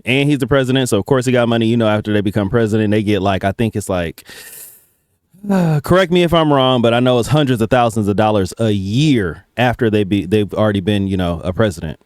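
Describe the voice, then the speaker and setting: Low-voiced man giving casual reaction commentary. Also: fast, a little mellow, no echo, clean studio sound. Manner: hesitant starts, slangy, profane. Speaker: reaction video host.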